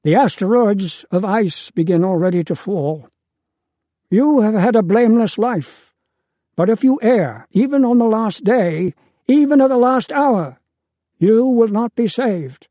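Guy doing a fired-up preachy old dad, pitched way up and loud, kinda raspy. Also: lively intonation, measured pace, no room sound. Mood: stern. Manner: impassioned, preaching. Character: elderly male father, pleading and fervent.

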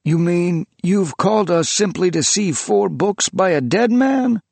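A man voicing a friend who protests in disbelief, pitched far above his own voice. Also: lively intonation, unhurried, vocal fry, a little mellow, no room sound. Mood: incredulous. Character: incredulous friend of an old man.

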